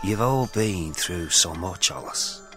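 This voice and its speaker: Man acting a solemn priest, animated pitch. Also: slow pace, over music. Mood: gently insistent. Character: solemn, probing priest and spirit investigator. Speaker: actor in an audio play. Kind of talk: dramatic audio play acting.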